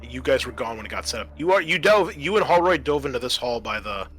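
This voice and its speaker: A man acting as game master, improvising narration and explaining rules, often pausing mid-sentence as he thinks. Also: medium pitch, expressive, fairly bright tone, husky. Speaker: game master and host of a tabletop roleplaying session. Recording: noticeable background room noise.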